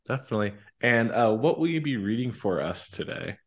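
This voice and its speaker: A man's voice: mid-range voice, animated, fairly quick. A man hosting a podcast, asking a guest questions in a casual interview style. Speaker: podcast host.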